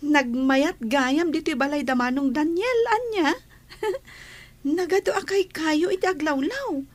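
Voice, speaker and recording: A woman's voice: high-pitched, a little slow. A woman acting an easygoing teenage village schoolgirl in an Ilocano radio comedy drama. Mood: easygoing, admiring.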